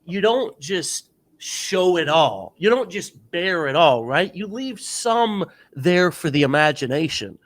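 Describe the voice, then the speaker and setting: A man's voice: a little high, expressive, takes it slowly, dry recording. A man hosting an interview podcast, stuffed up with a sore throat, giving earnest coaching advice in a casual chat. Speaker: podcast host.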